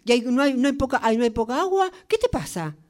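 A grumpy, groggy young woman with an Argentine accent, played by a woman in a radio drama workshop. She grumbles and swears at her roommate in a fairly high voice. It is an improvised radio play. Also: very animated, fast, darkish tone. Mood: angry. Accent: Argentine Spanish.

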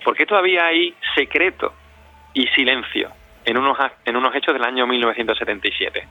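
Halting man in dull lo-fi audio. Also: medium pitch, no echo. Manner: halting, searching for words, repeats words. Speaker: interview guest, audio fiction director. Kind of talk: spontaneous interview answers.

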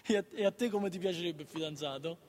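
An actor with a Neapolitan accent playing a naive, stubborn, inquisitive child in a radio play, stammering and insistently repeating words. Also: male voice, high voice, fast, a little mellow, lightly acted. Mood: curious, eager. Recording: long hall reverb.

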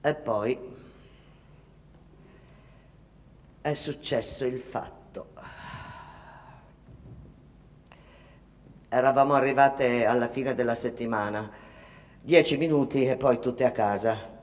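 A woman's voice: rumbling low, normal speed, a little mellow. An actress playing a fretful, disapproving immigrant seamstress, telling her story in a conversational theatrical monologue. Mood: subdued, ominous. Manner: conversational, repeating words, mimicking others. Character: Italian immigrant factory seamstress, disapproving, fretful mother's confidante. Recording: long reverberant hall.

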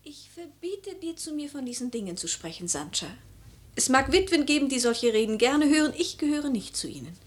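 A proud, troubled noble widow in a classical radio play. Her voice is fairly high, with haughty, theatrical delivery that can drop toward a whisper. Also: very animated, moderate pace. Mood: haughty, indignant. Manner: haughty, dramatic, whispery at times. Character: imperious, troubled noble widow haunted by a dream. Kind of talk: classical German radio drama acting.

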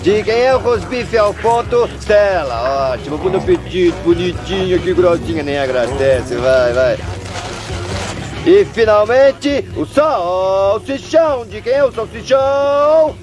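A goofy dim-witted dog groomer. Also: male voice, sits high, expressive, slow pace. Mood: teasing. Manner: chatty, teasing, mock-scolding. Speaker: dubbing actor. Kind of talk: Brazilian Portuguese film dub. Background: film music.

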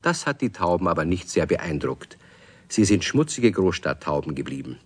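A man's voice: low voice, sing-song, moderate pace, slightly rough, heavily acted. A man giving scripted narration as the storyteller of a children's radio play.

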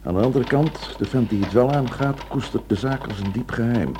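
An actor playing a dry, understated and persistent police inspector in a radio drama. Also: male voice, mid-range voice, fairly quick, natural voice. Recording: noisy old radio play recording.

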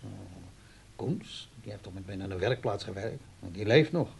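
A man's voice: lowish voice, lively intonation, moderate pace, vocal fry, a little mellow, a little hiss or hum. An actor playing a gloomy old father who feels forgotten, resigned and brooding, in a radio play. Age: older.

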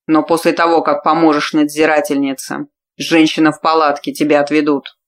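Brief: woman putting on a brutal male warlord in an audiobook, slow, loud, growling menace. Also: low-pitched, level tone, natural voice. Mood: cold.

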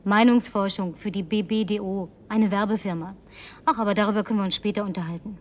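Actress as chatty, house-proud young woman for radio drama, lonely and persuasive, medium pitch, slight rumble behind. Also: fairly even intonation, quick pace, natural voice. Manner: coaxing, sighing, effusive. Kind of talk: German radio drama dialogue.

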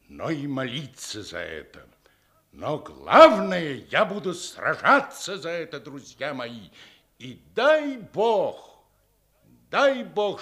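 A fervent German officer in an old radio drama. His delivery is slow and theatrical, with huge swings of pitch and an uneasy, emphatic weight on his phrases. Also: fairly bright tone, exaggerated voice. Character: fervent, foreboding German officer ready for war. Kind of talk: 1950s Soviet stage acting for radio.

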